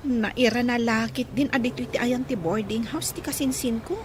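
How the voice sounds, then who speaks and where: A woman's voice: fairly high pitch, moderate pace, noisy background, close to an everyday voice. A woman playing an observant young newcomer from the province in a radio drama. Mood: uneasy, observant. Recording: prominent music behind dialogue.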